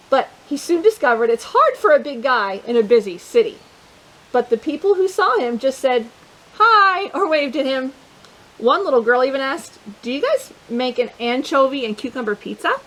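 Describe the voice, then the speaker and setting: Woman storytime host, high voice, playful picture-book reading for kids. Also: moderate pace, low-quality recording. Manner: animated and playful, with chatty asides to young listeners.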